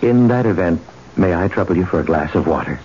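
A man playing an uncanny, insistent stranger in a radio mystery, speaking in a formal, measured, eloquent way. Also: low-pitched, lively intonation, a little mellow. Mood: smooth, insistent. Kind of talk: old-time radio mystery drama acting. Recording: muffled old radio recording, faint noise.

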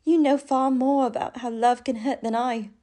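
A gentle, heartbroken young sister in a radio play acted by a woman. Her voice is fairly high yet mellow, with tender, theatrical earnestness. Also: steady tone, normal speed, natural voice. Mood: tender concern. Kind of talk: radio drama acting.

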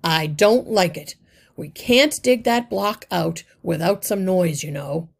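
A woman's voice: fairly low pitch, takes it slowly, creaky, exaggerated voice. A woman voicing a wary, blunt rat. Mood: doubtful. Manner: doubtful, blunt.

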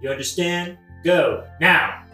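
A man's voice: high voice, takes it slowly, sharp tone, heavily acted. An actor playing a boastful, peevish king with a pompous, superlative-heavy way of talking in a satirical audio play. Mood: bossy, irritated. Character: boastful, self-congratulating, peevish modern-slang king. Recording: roomy, distant mic, music behind.

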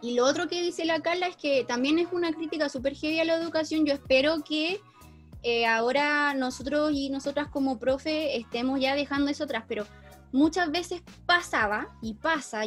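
A woman with a Chilean accent in a casual discussion, explaining things in an animated, slangy, informal way. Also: high voice, moderate pace, faint music behind. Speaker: teacher.

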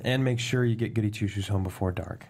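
A man's voice: on the low side, a little fast, vocal fry, darkish tone. A man playing an exasperated, no-nonsense police captain in a table read of a TV script. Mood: businesslike.

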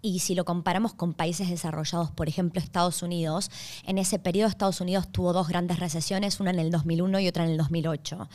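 A woman's voice: lowish voice, steady tone, fast, darkish tone. Argentine woman explaining to camera. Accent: Argentine Spanish. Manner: clear, checks in with "¿está bien?" and "¿ok?". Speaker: host.

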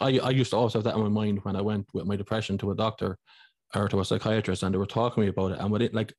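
A man's voice: fairly low pitch, a little mellow, no room sound, clean studio sound. A man hosting a podcast, chatting informally with rambling, stumbling restarts. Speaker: podcast host. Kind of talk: casual interview chat.

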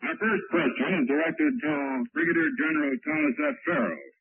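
A man's voice: very high-pitched, huge pitch swings, moderate pace, gravelly, slightly rough. A man working as a military combat reporter, interviewing in a formal, scripted 1940s radio news style on a wire recorder. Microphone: wire recorder microphone. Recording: tinny, dry wire-recorded field broadcast.

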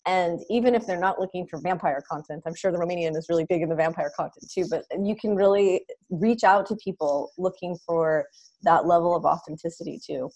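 A woman's voice: fairly low pitch, fairly quick, darkish tone, poor audio. A woman co-hosting a podcast, eager and emphatic in casual interview chat. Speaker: podcast co-host.

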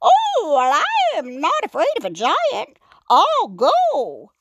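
A woman's voice: sits high, wildly swooping pitch, takes it slowly, fairly bright tone, no echo, dull audio, voice pushed up, full character voice. A woman voicing a boastful male frog full of bluster in a children's story.

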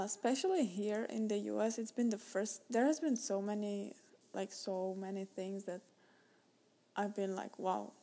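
A woman's voice: on the high side, dark tone. A young Czech woman with a Czech accent, hosting a solo podcast and talking in a rambling way, full of fillers and restarts. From Czech Republic. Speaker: podcast host.

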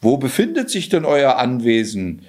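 A man's voice: mid-range voice, wildly swooping pitch, normal speed, a little mellow, voice pushed up. A man voicing a kindly, courteous older king in a fairy-tale reading.

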